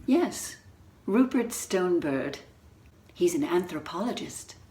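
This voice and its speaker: A woman's voice: on the low side, sing-song, slow pace, warm tone, a little hiss or hum. A woman playing a gracious, discreet vicar's wife in a dramatized novel reading. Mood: gracious, informative.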